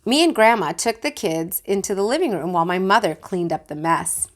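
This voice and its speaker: A woman reading a children's book aloud like a teacher, with expressive storytelling. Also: mid-range voice, fairly quick.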